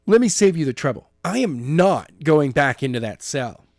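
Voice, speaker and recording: A man's voice: fairly high pitch, very animated, normal speed, no echo, clean studio sound, faint music behind. A man reading a stubborn, defiant young man with powers in his own plain voice, pushing his point insistently. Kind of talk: amateur audiobook reading.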